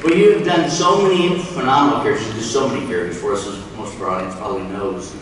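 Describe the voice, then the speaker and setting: A man's voice: on the low side, very fast. A middle-aged man hosting an onstage interview as the panel moderator. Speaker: panel host. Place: large stage in convention hall, audience behind. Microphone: handheld mic, captured by distant audience camera. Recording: echoey hall from far off, noisy crowd.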